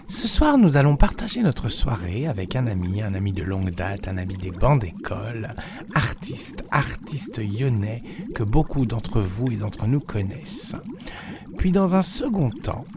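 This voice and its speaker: A man hosts an arts radio program, his pitch rising and falling in a lively, animated way. He introduces the show and his guest with a measured, announcer-like delivery. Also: noisy background. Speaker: radio host.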